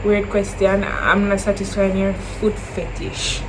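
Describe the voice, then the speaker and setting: A woman's voice: medium pitch, monotone, a little slow, noisy background. A twenty-year-old woman with a Jamaican accent, answering viewer questions in a casual chat to camera, slipping into Creole phrasing and repeating herself as she talks.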